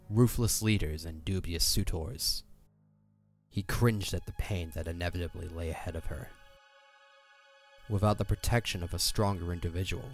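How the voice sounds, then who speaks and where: Deep-voiced male narrator over music. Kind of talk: scripted dramatic story narration.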